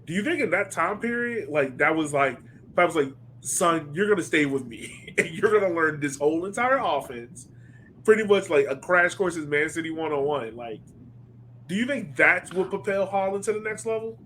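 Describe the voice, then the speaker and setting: A man's voice: on the high side, lively intonation, normal speed, a bit bright, slight background noise, poor audio. A man hosting a casual sports chat, informal and conversational, posing questions and voicing imagined quotes. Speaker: podcast host.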